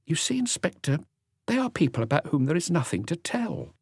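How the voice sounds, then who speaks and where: A man's voice: a little high, sing-song, brisk, darkish tone, breathy edge, no echo, clean studio sound, pitched above the performer's own voice, exaggerated voice. A man voicing a young, affable female concierge in an audiobook, polite, chatty and matter-of-fact. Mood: earnest. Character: young, affable woman concierge, helpful and soft-spoken. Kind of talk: audiobook character dialogue.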